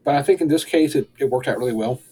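A young man co-hosting a podcast, reviewing an episode in relaxed, rambling casual chat and chuckling often. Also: mid-range voice, fast talker, husky. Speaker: podcast co-host.

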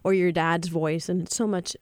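A woman's voice: lowish voice, level tone, moderate pace, darkish tone, no room sound, clean studio sound. A woman from the Dominican Republic, a parenting expert on a podcast, explaining earnestly with some restarts. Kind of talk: podcast discussion.

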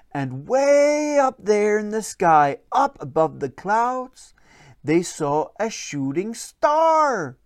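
A storyteller narrating a children's bedtime story in an expressive, deliberate way. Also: mid-range voice, no echo, studio quality.

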